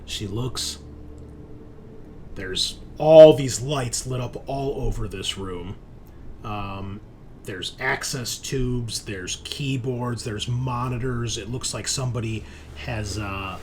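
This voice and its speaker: A man working as game master, improvising narration for a tabletop game in halting, piecemeal descriptions. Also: fairly low pitch. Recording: clear background noise with ambience.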